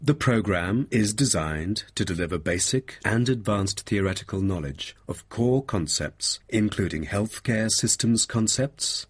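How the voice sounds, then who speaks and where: Man as male course coordinator, lowish husky voice, formal and polite, scripted interview-style exam dialogue. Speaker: programme staff member.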